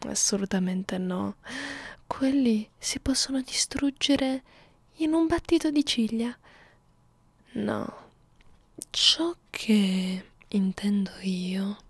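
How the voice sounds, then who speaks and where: A playful, menacing young vampire acted out by a woman in an intimate ASMR roleplay. She drifts between whispers and soft speech at an unhurried pace, haughty and condescending toward her prey. The sound is close and very dry. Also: medium pitch, creaky, husky, no room sound, heavily acted. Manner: slow, teasing, condescending, menacing. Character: young female vampire, 342 years old, playful, predatory, haughty. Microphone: close ASMR microphone.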